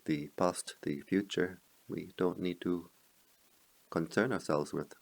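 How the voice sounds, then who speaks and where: A man leading a guided meditation as a teacher, speaking in slow, measured phrases with long pauses. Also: sits low, fairly even intonation, creaky.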